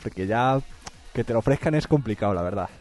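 A mellow-voiced man hosts a relaxed radio interview. He backs up his guest with short agreements. Soft music plays faintly behind. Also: lowish voice, fast. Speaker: radio host. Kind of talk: casual interview chat.

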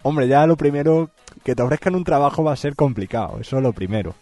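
A man's voice: medium pitch, expressive, quick pace, mellow, soft background music. A man hosting a radio interview, nodding along with short agreements. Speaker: radio host. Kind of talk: casual interview chat.

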